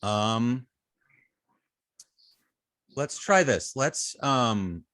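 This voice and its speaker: A man teaching informally as an instructor, thinking aloud with halting, repeated and restarted phrases. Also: mid-range voice, lively intonation, a bit bright, dry recording, studio quality. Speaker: web design instructor.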